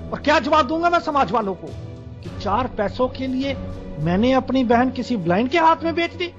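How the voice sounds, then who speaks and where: A man voices an overbearing older brother in a radio play, shouting scornful threats. His voice sits high, and music plays clearly behind him. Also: very animated, brisk, lo-fi sound. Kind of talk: amateur radio drama acting.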